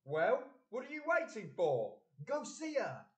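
A man's voice: extremely high pitch, huge pitch swings, measured pace, exaggerated voice. An older man playing a proud, encouraging father in a community audio play. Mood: urging. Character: proud, encouraging working-class father, earnest storyteller.

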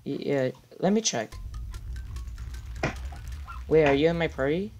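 A young woman with a fairly low voice that swings widely and playfully, chatting while she games. A steady hiss of noise and clicking keys sits under her voice on a home mic. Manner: chatty, playful, quick shifts in pitch. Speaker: streamer playing a game. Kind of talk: casual gaming chat.

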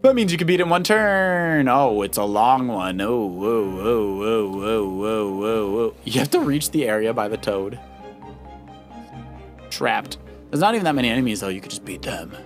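Man casually coaching over loud game music. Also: lowish voice, lively intonation, normal speed. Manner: advising, explanatory. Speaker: father co-hosting a children's let's play. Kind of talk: casual gameplay commentary and coaching. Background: video game music.

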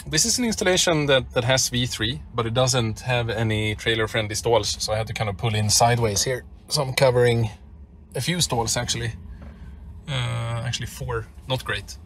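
A man's voice: medium pitch, normal speed. A man from Sweden chatting casually while he drives, with lots of ums and you-knows. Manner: relaxed and chatty, with frequent "uh" and "you know". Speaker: vlogger. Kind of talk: casual road-trip commentary while driving. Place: inside a moving car. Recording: loud road noise in the car. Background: road and engine noise.

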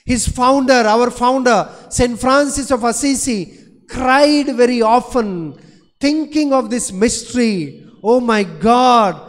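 A man's voice: very high voice, takes it slowly. A man in his forties, a Franciscan priest, preaching a sermon into a stand mic in a large church, emphatic and repeating his phrases. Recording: long church reverb.

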